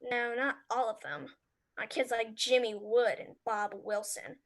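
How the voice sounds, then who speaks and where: A woman playing an excited, matter-of-fact little girl in a radio play. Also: on the high side, a little slow. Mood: excited, defiant.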